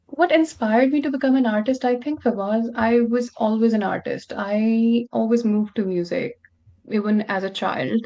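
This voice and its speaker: A woman's voice: fairly high pitch, normal speed, dark tone, lo-fi sound. A young woman from Pakistan, a podcast guest telling her story in an earnest, reflective way. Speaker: guest musician. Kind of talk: podcast interview.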